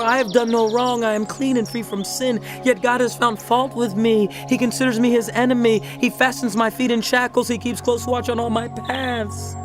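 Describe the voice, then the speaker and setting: An actor playing an earnest, righteous biblical orator in a dramatized audio Bible, speaking emphatically with deliberate, rhetorical pauses. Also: male voice, very high voice. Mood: indignant, insistent. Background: music.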